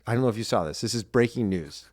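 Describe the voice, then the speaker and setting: A man's voice: on the low side, animated, fast, darkish tone, dry recording, clean studio sound. Enthusiastic man co-hosting a podcast. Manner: enthusiastic, gushing. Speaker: podcast co-host. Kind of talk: casual podcast chat. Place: podcast studio.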